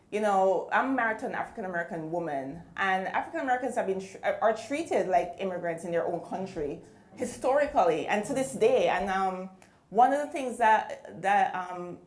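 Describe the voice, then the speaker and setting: A woman with a Jamaican accent speaking earnestly on a writers' panel. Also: mid-range voice. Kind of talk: panel discussion. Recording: echoey room.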